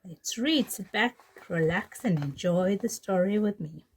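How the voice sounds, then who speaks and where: A woman teacher reading a children's story aloud in an animated way, addressing young children. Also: mid-range voice, measured pace, a little hiss or hum. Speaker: pre-primary teacher, storyteller.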